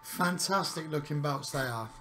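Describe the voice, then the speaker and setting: A husky-voiced man joins as a guest and chats easily about a wrestling game. His voice sits a little higher than most men's, with a relaxed, agreeable manner. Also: moderate pace, a little hiss or hum. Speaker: guest co-commentator. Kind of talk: casual game commentary.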